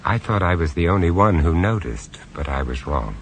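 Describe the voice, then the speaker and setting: A grave storyteller spins a horror tale for an old radio play. His voice sits very low, yet it rises and falls widely as he builds dread, and he turns dryly sarcastic when he talks to the others. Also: animated, a little hiss or hum. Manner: measured, dramatic storytelling with dry sarcasm. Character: grave, wry male storyteller on a castle tour. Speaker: first-person narrator of a radio horror story. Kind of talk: 1970s radio drama narration.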